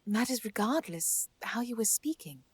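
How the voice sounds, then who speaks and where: Lively female druid companion, played by an actress, playful yet polite, swooping high voice, fantasy audio drama. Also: normal speed, husky, no echo. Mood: teasing. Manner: formal wording, playful. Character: genial, teasing female druid companion to a lady.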